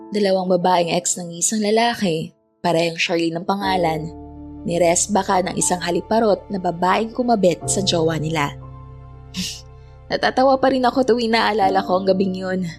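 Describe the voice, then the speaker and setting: A gentle young Filipino woman, voiced by an actress tells her own story in a slightly low voice. The delivery is confiding and reflective, as in a scripted audio drama, over soft music. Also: natural voice. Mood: wry, reflective, then amused, reflective. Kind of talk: scripted first-person story narration.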